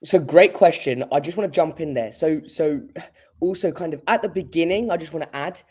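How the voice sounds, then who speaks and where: A man's voice: a little high, brisk, darkish tone, husky. A man with a cold, sounding congested, speaking candidly and a little hesitantly as a podcast guest. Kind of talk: casual podcast chat.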